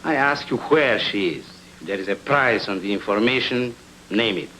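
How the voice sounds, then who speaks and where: A man's voice: fairly low pitch, expressive, moderate pace, sharp tone, a little hiss or hum, rough recording. A man playing a suave, coolly menacing crook in a noir radio drama, polite, dry and controlled.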